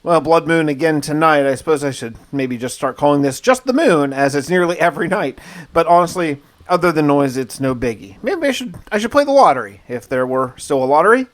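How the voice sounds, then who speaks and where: A man's voice: fairly high pitch, expressive, a little fast. A man hosting a gaming video, narrating his play casually in the first person with wry, conversational musing.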